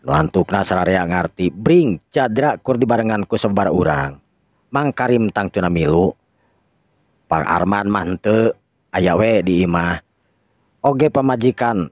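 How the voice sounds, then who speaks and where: A man with a Sundanese accent narrating a radio drama as its storyteller. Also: low-pitched. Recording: dull lo-fi recording with echo.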